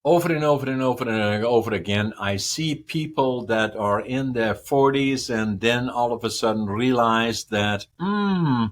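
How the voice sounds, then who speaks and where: A man's voice: mid-range voice, animated, unhurried, few pauses. A man, a podcast guest giving long, rambling answers that repeat words and run through lists. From Northern Netherlands. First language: Dutch. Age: 80s. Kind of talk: casual interview answers.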